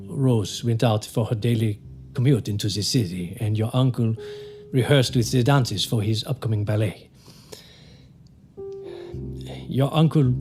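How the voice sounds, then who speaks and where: A man's voice: mid-range voice, close to an everyday voice. Man acting courteous German lawyer over music. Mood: grave, hesitant. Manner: formal, halting word searches. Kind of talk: audio drama acting.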